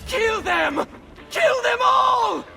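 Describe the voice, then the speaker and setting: A man's voice: very high-pitched, animated, very slow, vocal fry, pushed and bright, shouting, distant mic, soft background music, exaggerated voice. An actor playing an arrogant, spiteful young nobleman villain in a video game, with a sneering, taunting manner. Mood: furious. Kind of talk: video game drama acting.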